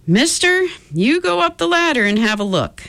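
A woman acts a little storybook workman, pitched high and swooping. He is stubborn and wary, with folksy, ungrammatical talk, and music plays behind. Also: very animated, moderate pace, vocal fry, fairly bright tone, dry recording, full character voice. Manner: colloquial, folksy.

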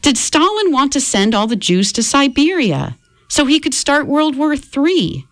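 An anxious young boy pressing his family with urgent questions in a storybook reading, put on by a woman. The voice rises high and pleading, with lively swings. The sound is dry and close. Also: unhurried, no room sound.

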